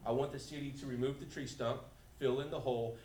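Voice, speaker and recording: A man's voice: mid-range voice, fast. Man addressing a meeting in an echoey room. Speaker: resident giving public comment. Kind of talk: informal explanatory public address. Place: indoor council meeting room. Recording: echoey room, steady background noise.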